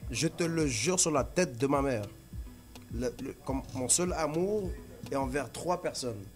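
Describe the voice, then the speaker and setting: A man's voice: medium pitch, a little slow, faint music behind. A man, a guest on a radio interview, playful and joking, laughing often and mixing in English phrases. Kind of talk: casual chat.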